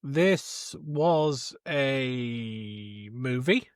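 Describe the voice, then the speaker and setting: A man's voice: mid-range voice, lively intonation, drawn-out words, smooth, no echo, studio quality. A man co-hosting a podcast, giving his opinions on films in casual chat. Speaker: podcast co-host. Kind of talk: casual film review chat.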